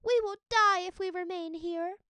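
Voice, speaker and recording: A woman's voice: very high voice, normal speed, bright, forceful tone, dry recording, voice pushed up. A woman voicing a tiny, helpless trapped creature in a storybook, with a thin, urgent, pleading voice.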